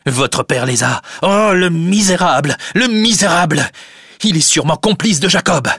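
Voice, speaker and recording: A man's voice: medium pitch, a little slow, bright, forceful tone, breathy edge, dry recording, clean studio sound, full character voice. A man voicing a passionate, desperate, hot-headed prisoner in a storybook reading. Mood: furious, desperate. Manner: intense, exclaiming.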